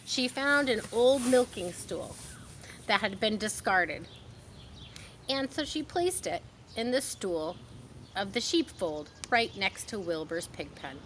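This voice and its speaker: A woman reading a children's story aloud, pausing to explain things simply as if to young listeners. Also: medium pitch, very animated, fairly bright tone, a little hiss or hum. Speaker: storybook reader.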